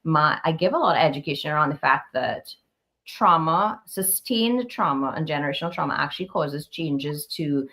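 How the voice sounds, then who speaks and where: Trinidadian woman, animated and low-toned. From Trinidad and Tobago. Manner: animated, laughs often, quick restarts. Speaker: guest counselor. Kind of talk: casual webinar conversation.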